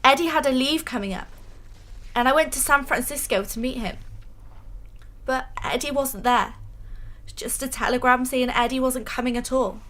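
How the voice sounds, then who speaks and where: Radio drama role: a woman as an earnest young female fan, high and bright, hesitant and self-effacing. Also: normal speed, long pauses. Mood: wistful, earnest. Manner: hesitant, stammering.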